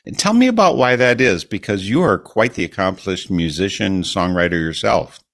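A man's voice: sits low, lively intonation, moderate pace, fairly bright tone. A man hosting a radio interview, asking questions in a friendly, conversational way. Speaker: radio host.

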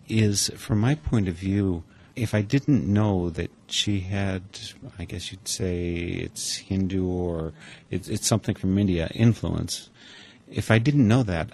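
A man's voice: deep voice, darkish tone. An American man from Wisconsin hosting a conversational interview in a reflective, wry way. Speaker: interview host.

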